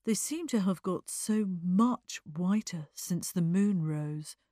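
Anxious young male aesthete, read by a woman: precious, plaintive, sorrowful, words drawn out slowly, literary audiobook, dry sound. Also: fairly low pitch, dark tone, dry recording. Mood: wistful.

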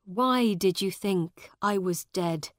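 A woman's voice: mid-range voice, slow, no echo, clean studio sound, lightly acted. A woman voicing a forthright young nobleman in a storybook reading. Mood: urgent.

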